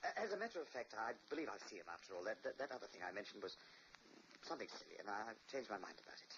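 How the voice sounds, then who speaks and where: An actor playing a respectable, married philanthropist who grows uneasy, in a 1940s radio play. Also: male voice, on the low side, sing-song, racing. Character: respectable middle-aged philanthropist man, uneasy. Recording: dull noisy old radio recording.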